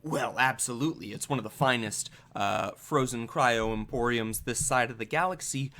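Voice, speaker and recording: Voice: mid-range voice, moderate pace, fairly bright tone, lightly acted. An elderly female museum guide, acted out by a game master for a tabletop game. She is formal and apologetic, officious about her duties, and hesitates politely as she goes. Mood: courteous.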